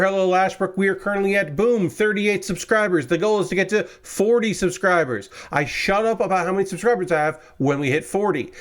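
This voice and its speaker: A young man casually chatting with his stream viewers while building model kits, rambling and correcting himself, a little congested after a cold. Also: sits high. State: slightly congested, recovering from a cold. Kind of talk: casual livestream chat. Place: his room with window open.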